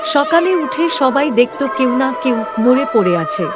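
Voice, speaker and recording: A woman's voice: high-pitched, sing-song, measured pace, loud music behind the voice. A woman narrator reading a scripted cartoon horror tale as a storyteller.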